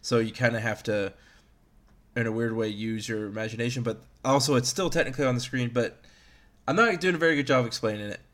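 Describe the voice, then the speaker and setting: A man's voice: mid-range voice, fast, a bit bright. A man in his early thirties chatting casually as a podcast host about video games, in a rambling, self-deprecating way. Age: early 30s.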